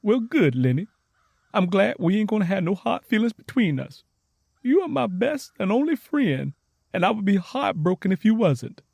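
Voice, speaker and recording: An eager young Southern woman played by a male narrator in an audio story. Her pitch sits high and swings widely as she speaks in an earnest, folksy drawl, recorded close and dry. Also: normal speed, gravelly, no room sound, full character voice. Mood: excited, warm. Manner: excited, earnest, folksy dialect.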